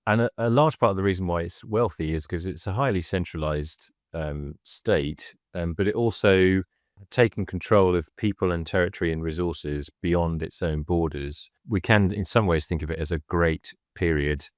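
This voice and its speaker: A man co-hosting a podcast and explaining history in casual chat, measured and hesitant with many ums. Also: sits low, no room sound, clean studio sound.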